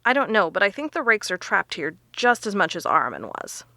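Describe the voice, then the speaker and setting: A confident researcher in an audio drama. Her voice is bright and forceful, recorded close and dry, and she lays out her theories with emphasis. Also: mid-range voice, big pitch swings, a little fast, dry recording. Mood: explaining, intent. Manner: emphatic, explanatory.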